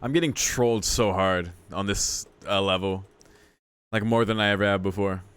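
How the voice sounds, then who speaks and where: A man's voice: fairly low pitch, normal speed, a bit bright, clean tone, no room sound, clean studio sound. Exclamatory man commentating on a game. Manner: exclamatory, mock-exasperated reactions to the game. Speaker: gaming video host. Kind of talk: casual gameplay commentary.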